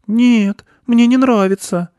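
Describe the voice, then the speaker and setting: A man's voice: extremely high pitch, steady tone, slow, warm tone, no echo, clean studio sound, pitched above the performer's own voice. A man voicing a blunt, indignant young girl who exclaims and protests in a children's story. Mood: reluctant.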